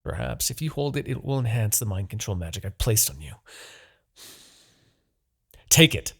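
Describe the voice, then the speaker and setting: A man's voice: fairly low pitch, expressive, normal speed, vocal fry, husky, exaggerated voice. Man voicing an imperious demon king, wildly swooping delivery. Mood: scheming, intent. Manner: theatrical, brooding, swinging between murmurs and outbursts. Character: imperious, obsessive male demon king, easily exasperated villain. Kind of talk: dramatic fantasy audio roleplay.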